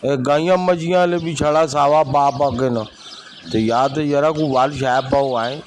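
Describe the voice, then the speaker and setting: A man's voice: a little high, fairly even intonation, normal speed, a little hiss or hum, close to an everyday voice. A man playing a chatty, grumbling, hard-up villager in an amateur rural audio drama, speaking colloquially.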